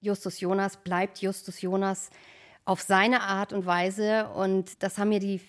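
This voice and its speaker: A woman's voice: mid-range voice, flat delivery, a little slow. A woman in her thirties or forties, speaking heartfelt and emphatically as a fan in an interview. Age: 30s to 40s. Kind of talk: personal interview storytelling.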